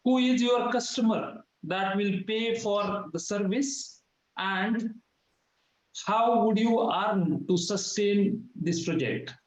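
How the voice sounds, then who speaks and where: A man's voice: high voice, slow. A man judging a hackathon, asking presenters probing questions about their projects. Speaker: hackathon judge. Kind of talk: asking questions on a video call. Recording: echoey lo-fi call audio.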